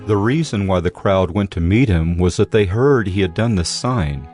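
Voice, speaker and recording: A man giving scripted Bible narration as a measured storyteller. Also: low voice, lively intonation, a little mellow. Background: music.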